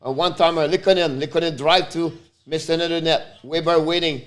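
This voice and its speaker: A man from Montreal whose first language is French, speaking English with a Quebec French accent as he hosts a casual live stream, calling the game and chatting with viewers, with loud sudden outbursts. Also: fairly high pitch, steady tone, fairly quick. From Montreal, Canada. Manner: talks straight to viewers. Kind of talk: casual live play-by-play and chat.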